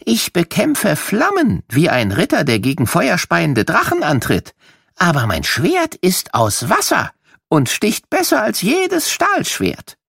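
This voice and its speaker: A man's voice: sits high, wildly swooping pitch, takes it slowly, vocal fry, fairly bright tone, slightly rough, dry recording, studio quality, pitched above the performer's own voice, full character voice. A man playing a proud firefighter who poses a riddle to children in a playful, theatrical, teasing way for an audio story. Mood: playful, boastful.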